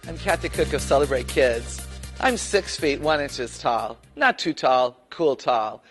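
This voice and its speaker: A woman with a low voice, a public speaker telling a personal story in an animated, playful way. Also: a little slow, soft background music. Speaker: guest speaker. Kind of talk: personal story told to camera.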